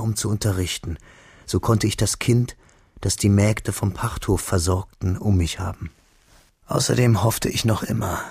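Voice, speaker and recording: An actor playing a grieving young schoolteacher who narrates his memories in a slow, hushed, sorrowful way for a radio drama. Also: mid-range voice, no echo, clean studio sound, full character voice. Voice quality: husky, breathy, gravelly.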